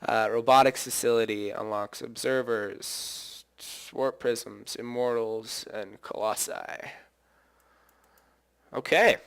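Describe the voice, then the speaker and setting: A man talks through his own match in a loose, wandering way, stopping to correct himself and think out loud. His voice sits at an ordinary pitch and comes through smooth and clear, with only a slight hoarseness left over from singing. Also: fairly even intonation, slow. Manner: rambling, thinks aloud, self-correcting. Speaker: gameplay commentator. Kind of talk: casual gameplay commentary.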